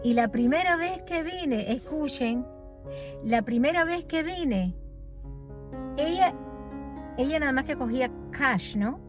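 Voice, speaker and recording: A woman's voice: sits high, lively intonation, slow pace, long pauses, over music. A woman who grew up in Los Angeles, rambling cheerfully on a walking vlog at night, often changing topics and laughing at herself. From raised in Los Angeles. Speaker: vlogger. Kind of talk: casual vlog walking tour. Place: outdoor street corner at night.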